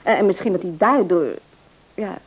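A woman's voice: medium pitch, normal speed, slightly rough, lightly acted, low-quality recording. A woman playing a dutiful, eager grown-up daughter in a radio play, chatty and polite with some hesitancy. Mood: earnest, hesitant. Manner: chatty, polite, hesitant when asking favours. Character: Annie, dutiful, eager married adult daughter.

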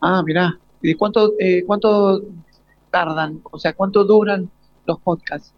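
High-voiced Argentine man interviewing, haltingly. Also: steady tone, dry recording. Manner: hesitant, halting questions with repeated starts. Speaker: radio interviewer. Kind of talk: informal live interview with students. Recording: slightly dull, lo-fi live broadcast.

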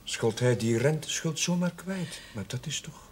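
An actor playing a shy, kind young village chaplain with a hesitant, stammering manner, in a television period drama. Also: male voice, on the high side, animated, moderate pace, a little hiss or hum, close to an everyday voice. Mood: polite, curious.

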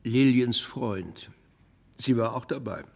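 A terse club regular from a small town in a radio play. His voice is gravelly and hoarse-edged at a middle pitch. He speaks in short, dry statements. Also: slow. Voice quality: gravelly, husky. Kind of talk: German audio drama dialogue.

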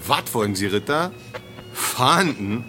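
An actor playing a gruff, condescending police superior, sneering and colloquial, in a radio drama. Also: male voice, medium pitch, lively intonation, takes it slowly, sharp tone, soft background music. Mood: sarcastic, condescending.

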